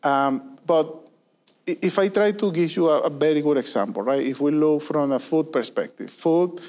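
A man's voice: on the high side, moderate pace, a little mellow. Man explaining earnestly. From Venezuela. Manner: earnest and explanatory, with many fillers and tag questions. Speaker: guest, industry consulting leader. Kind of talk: conversational interview answers.

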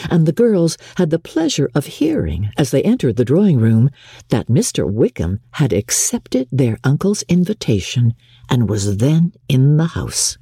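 A woman giving a dramatic, measured audiobook reading of a classic novel, with theatrical emphasis as narrator. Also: a bass voice, dark tone, slightly rough, no room sound, clean studio sound.

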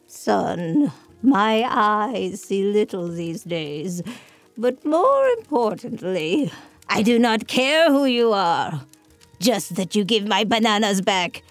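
A woman voicing a sharp-tongued, half-blind old grandmother who scolds and mocks with a cackle. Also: medium pitch, expressive, slow, dry recording, faint music behind. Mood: scolding.

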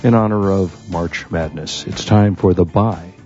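A man's voice: low-pitched, fairly even intonation, measured pace, darkish tone, lo-fi sound, faint music behind. An American man co-hosting a radio show in casual banter, with a jokey, teasing manner.

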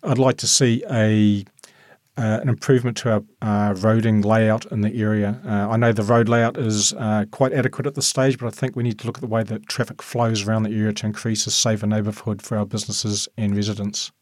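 A middle-aged man with a New Zealand accent gives earnest answers, pausing often on "uh." His voice is on the low side, and the close, dry recording has no room echo. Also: flat delivery. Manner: earnest, halting with uhs. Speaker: local board member. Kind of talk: podcast interview answers.

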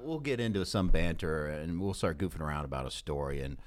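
An American man hosting a sports podcast in a casual, animated, conversational way. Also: rumbling low, fairly quick. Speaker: podcast host, sports broadcaster. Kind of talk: casual podcast interview chat.

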